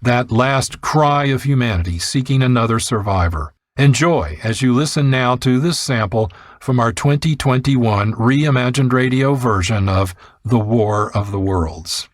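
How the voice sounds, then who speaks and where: A man's voice: mid-range voice, a little slow, few pauses, no room sound. A man reading scripted narration as the host of a radio program, on a close studio mic.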